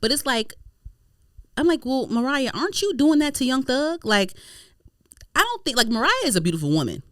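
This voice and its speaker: A woman hosting a podcast in a casual gossip chat, animated, slangy and profane, often repeating herself for emphasis. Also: high-pitched, brisk, long pauses, dry recording, studio quality. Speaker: podcast host.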